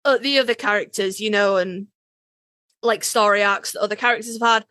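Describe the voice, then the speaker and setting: A woman's voice: mid-range voice, steady tone, a little fast, bright, forceful tone, no room sound. Woman podcaster, dry close sound, informal. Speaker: podcast co-host. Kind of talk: casual podcast chat about a fandom.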